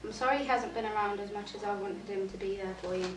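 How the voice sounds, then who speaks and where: A woman's voice: mid-range voice, quick pace. A nineteen-year-old woman, a young mother, giving an earnest, reflective personal testimony. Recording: roomy, distant, noisy.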